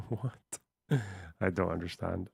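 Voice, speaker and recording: A man's voice: low-pitched, steady tone, slow pace, creaky, slightly rough, no room sound, clean studio sound. A man streaming, reading text aloud and musing on it casually, swearing offhandedly. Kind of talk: casual livestream commentary.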